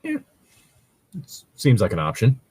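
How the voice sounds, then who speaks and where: A man's voice: mid-range voice, huge pitch swings, normal speed, a little mellow, slightly rough. A man chatting casually as a co-host, commenting on stories in a rambling, hedging way with casual swearing. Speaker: co-host reacting to read-out stories. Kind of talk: casual chat and commentary on a read story.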